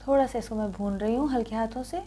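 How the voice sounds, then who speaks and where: A woman's voice: fairly high pitch, fast, warm tone. A woman hosting a cooking tutorial, narrating a recipe step by step in an instructional first-person walk-through. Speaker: cooking show host.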